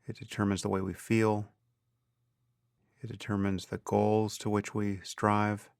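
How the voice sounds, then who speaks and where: A man guiding a meditation as a teacher, speaking calmly and deliberately in measured phrases with pauses. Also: on the low side, creaky, smooth, no room sound, studio quality. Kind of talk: guided meditation narration.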